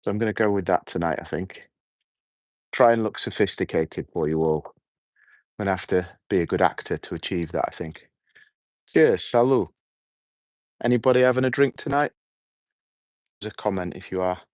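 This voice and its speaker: A middle-aged man with a hoarse voice, hosting a relaxed, rambling evening livestream outdoors and chatting with his viewers. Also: deep voice, moderate pace, long pauses, no room sound. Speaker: livestream host. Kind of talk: casual evening livestream chat. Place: outdoors at night.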